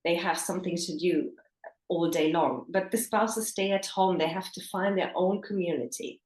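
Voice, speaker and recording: Lowish woman coach, echoey call audio. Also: fairly even intonation, moderate pace, a little mellow. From Germany. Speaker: intimacy coach guest. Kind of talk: interview answers.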